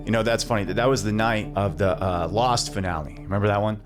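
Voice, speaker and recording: An actor playing a haunted former child star, defensive and insistent, speaking in a halting, earnest way in a dramatic interview. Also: male voice, fairly low pitch, music in the background, close to an everyday voice. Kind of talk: dramatic acted interview, naturalistic.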